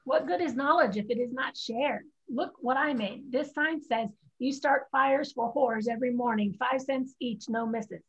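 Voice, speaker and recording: Woman doing cheeky young chinese girl in a play reading, husky, muffled video call sound. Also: sits high, moderate pace, hardly any pauses, fairly bright tone, heavily acted. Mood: urgent, scheming. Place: home room, video call.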